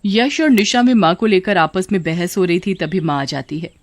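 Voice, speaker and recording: A woman's voice: lowish voice, animated, moderate pace, dry recording. A woman giving scripted narration for a children's cartoon story.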